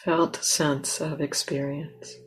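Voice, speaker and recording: Slow, low-voiced woman guiding meditation. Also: flat delivery, breathy edge, poor audio. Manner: gentle, unhurried, pausing mid-phrase. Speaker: meditation teacher. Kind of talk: guided meditation, slow with long pauses. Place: meditation center.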